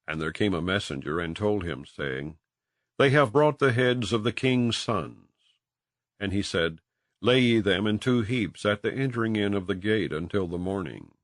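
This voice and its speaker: A man with a deep voice narrates old-fashioned King James scripture. His delivery is solemn and dramatic, moving widely in pitch as he tells the story. The sound is close and dry, with very little room in it. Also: dry recording. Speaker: audiobook reader.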